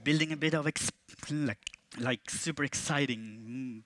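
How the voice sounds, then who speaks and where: A man in his thirties with a Swedish accent, giving a jokey, animated conference talk on a stage in a large auditorium. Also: a little slow, slight background noise. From Sweden. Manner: playful, jokey, with animated jumps in pitch and volume. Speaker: conference speaker. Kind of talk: stage presentation.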